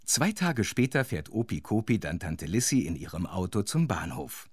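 Man, low husky voice, children's story narrator, playful, conspiratorial asides. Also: sing-song, moderate pace, no echo, studio quality.

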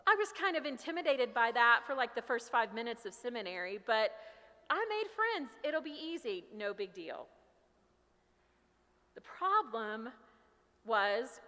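A middle-aged American woman pastor delivering a sermon with personal storytelling in a loud, emphatic, projected voice. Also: fairly high pitch. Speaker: pastor preaching. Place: large church sanctuary, at pulpit. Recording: reverberant large church hall.

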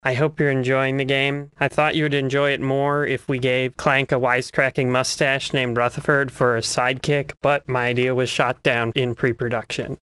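A man's voice: mid-range voice, little pitch movement, normal speed, few pauses, fairly bright tone, smooth, no room sound. A man, a lead game programmer, giving a scripted developer message.

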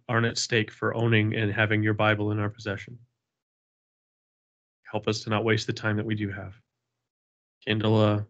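A man's voice: on the low side, a bit bright. A man leading a Bible study on a video call, praying earnestly and chatting casually, with a slight cold. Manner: earnest, repetitive prayer cadence. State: has a cold, sniffling. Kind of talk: prayer and casual group talk. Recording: dull lo-fi video call audio.

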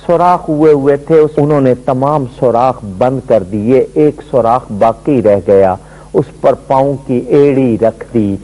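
Fervent middle-aged man preaching, mellow voice. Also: low-quality recording. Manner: emphatic storytelling, pitch swooping high and low. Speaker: religious scholar. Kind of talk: devotional lecture. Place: television studio set.

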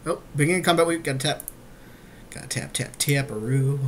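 A man with a somewhat high-pitched voice chats casually about a game in progress. He comes across as a podcast co-host, with clear noise on the home recording. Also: fairly quick.

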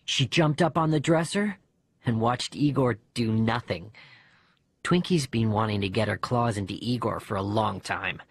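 A man voicing a bereaved young boy who narrates his diary letters in an expressive, conversational audiobook reading. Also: on the low side, moderate pace, husky, no room sound. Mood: fond, observant.

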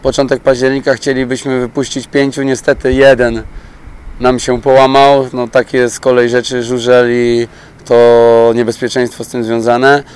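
A man's voice: mid-range voice, little pitch movement, moderate pace, darkish tone, clear, resonant tone. A man in his thirties from Poland, a youth sports coach giving plain-spoken, reflective interview answers. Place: open-air speedway stadium pit area. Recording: outdoor pit-lane noise behind voice.

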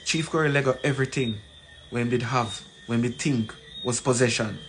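A man in his forties with a Jamaican accent gives an emphatic, deliberate address to camera, repeating phrases for weight. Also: medium pitch, fairly bright tone. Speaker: community leader. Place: small plain room indoors. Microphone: phone at arm's length. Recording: noisy room, ambient background sound.

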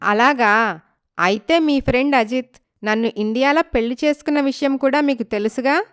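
A woman voicing a wronged young village wife in a story, polite and pleading but quietly determined. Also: high-pitched, moderate pace, clean tone, no room sound, studio quality, voice pushed up, lightly acted. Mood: curious, eager. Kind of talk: dramatised story acting.

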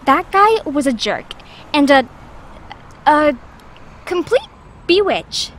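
A woman playing a prim, teasing mentor to a young girl in an audio drama, with measured delivery. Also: high voice, sing-song, slight background noise, full character voice. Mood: prim, playful. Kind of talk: podcast audio drama acting.

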